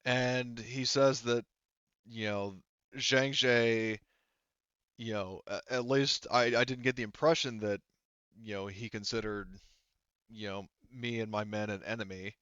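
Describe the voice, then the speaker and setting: A man running a tabletop roleplaying game, improvising narration in a halting, discursive and emphatic way. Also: mid-range voice, fairly even intonation, a bit bright, smooth, no room sound, muffled recording. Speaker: game master.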